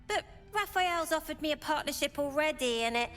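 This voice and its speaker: Game role: scrappy defiant girl ringleader, bright and forceful, very animated pitch, music underneath. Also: a little fast. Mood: cocky. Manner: cheeky, informal street grammar. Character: scrappy, defiant streetwise young gang-leader girl. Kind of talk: video game character acting. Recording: music behind the voice.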